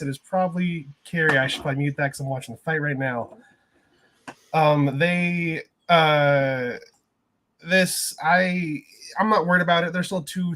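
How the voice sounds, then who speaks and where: A man's voice: on the high side, fairly bright tone. A man co-hosting a casual sports podcast, talking in a confident, joking way. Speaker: podcast co-host.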